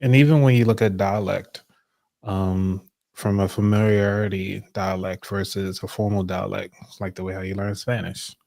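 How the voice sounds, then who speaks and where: A man's voice: sits low, steady tone, normal speed, vocal fry, darkish tone, no room sound. A man co-hosting a casual podcast discussion, talking in a loose, rambling way with many fillers. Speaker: podcast co-host.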